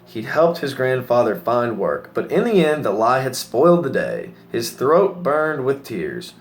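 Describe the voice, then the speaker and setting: A man's voice: medium pitch, big pitch swings, a little slow, a little hiss or hum. A man reading a children's story aloud as a narrator. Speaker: story reader.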